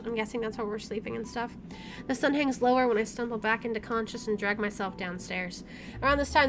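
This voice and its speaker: A woman doing a let's play, reading story text aloud expressively with informal asides. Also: fairly high pitch. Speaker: gaming YouTuber. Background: game music.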